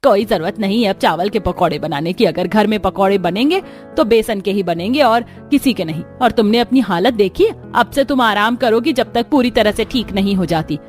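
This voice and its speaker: A woman's voice: mid-range voice, moderate pace, darkish tone, faint music behind, close to an everyday voice. A woman voicing a commanding, demanding middle-aged mother-in-law in a cartoon story. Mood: firm, concerned.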